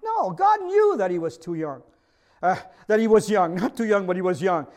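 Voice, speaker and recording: Middle-aged french-accented pastor, high voice, earnest sermon, keeps asking the crowd "you see?". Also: male voice, big pitch swings, moderate pace, a little mellow. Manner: earnest, preaches with repeated rhetorical questions to the congregation.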